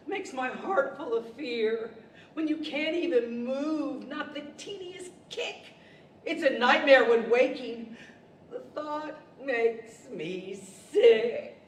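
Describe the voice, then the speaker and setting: A woman playing a timid, dramatic living rag doll girl in a 1940s-style radio play, with melodramatic delivery. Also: a little high. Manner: melodramatic, rhyming. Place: large indoor library event hall, live stage. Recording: echoey hall, distant mic, faint noise.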